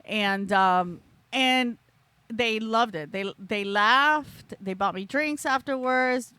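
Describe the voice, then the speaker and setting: Comedian lady on a podcast, kinda high voice, laughs through her story, acts out her reactions. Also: slow, pushed and bright, clean tone, no room sound. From El Salvador, raised in Florida. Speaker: stand-up comedian, podcast guest. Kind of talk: casual storytelling chat. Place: podcast studio.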